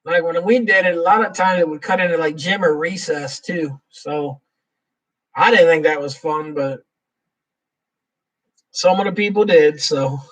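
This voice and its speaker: A man chats loosely through a live Q&A stream and reads viewer comments out loud between his answers. His voice sits a bit high for a man. He sounds set back from the microphone. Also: unhurried, pauses often, recorded from a distance, poor audio. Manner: rambling, reads viewer comments aloud, chuckles often. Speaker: livestream host. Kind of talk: casual live Q&A chat.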